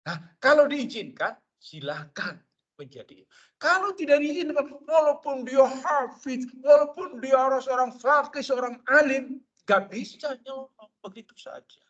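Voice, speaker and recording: A man with an Indonesian accent giving a mosque study lecture, expressive and acting out the people he quotes. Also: extremely high pitch, a little slow, slightly rough, dull audio. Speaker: preacher.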